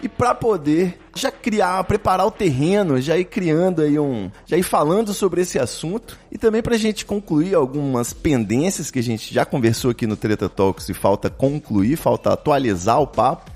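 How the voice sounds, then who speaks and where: A man's voice: a little high, animated, moderate pace, a little mellow, slightly rough. A man with a Brazilian accent hosting a podcast in a casual, chatty, joking way. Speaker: podcast host.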